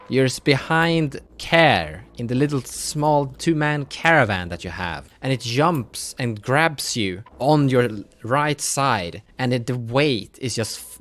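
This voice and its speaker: A man improvising narration as a game master, dramatic but often halting and correcting himself. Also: medium pitch, fairly bright tone.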